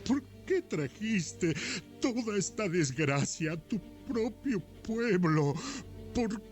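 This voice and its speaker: A man playing a distressed prophet in a dramatized audio Bible, imploring and questioning in drawn-out phrases. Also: high voice, big pitch swings, darkish tone, soft background music, heavily acted. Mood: anguished protest. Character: distressed, questioning Hebrew prophet protesting to God.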